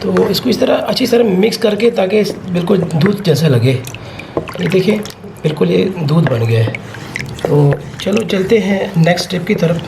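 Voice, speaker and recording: A hoarse man, a guest cook explaining a recipe step by step. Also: high voice, expressive, moderate pace. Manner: plain step-by-step instruction. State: hoarse, sore throat. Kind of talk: cooking demonstration. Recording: noisy background.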